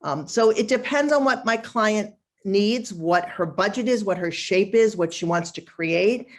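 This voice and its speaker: A woman's voice: medium pitch, expressive, measured pace. A woman with a Boston accent, a wardrobe stylist talking casually in a live online session, chatty and emphatic, laughing easily. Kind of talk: casual live webinar talk.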